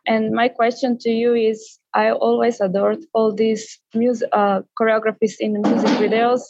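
A woman with a Slovenian accent asks a question with hesitant admiration. Her voice is creaky and flat in intonation, captured on dull, dry audio. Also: a little high, moderate pace, no echo. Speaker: dancer asking a question. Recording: dull, muffled call audio.

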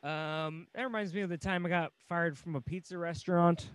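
Give me a higher-voiced American man, dryly recorded. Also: fairly even intonation, brisk, clean tone, no echo. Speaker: stand-up comedian. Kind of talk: casual comedic conversation.

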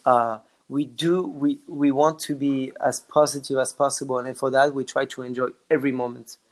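A man's voice: medium pitch, steady tone, normal speed. A man with a French accent, a dance teacher talking casually in an interview over a video call, with many restarts and fillers.

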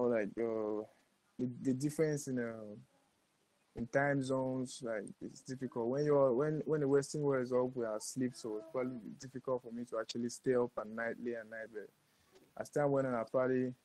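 Cameroonian man, mid-pitched, informal interview guest with slangy, brotherly phrasing. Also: a little mellow, rough recording. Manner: informal, slangy, frequently says bro and man. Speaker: guest, digital artist. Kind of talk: casual interview chat.

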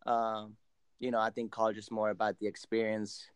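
A man's voice: lowish voice, monotone, normal speed, clear, resonant tone, no room sound, clean studio sound. A man in his late twenties from Argentina, a native Spanish speaker, telling his story casually as a guest in an interview. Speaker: professional soccer player, podcast guest.

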